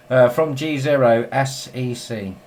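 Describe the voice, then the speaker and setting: A man's voice: medium pitch, darkish tone. An English man chatting informally as an amateur radio operator filming a casual vlog. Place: small indoor radio shack at home. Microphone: handheld camera. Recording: faint noise, home radio shack camera.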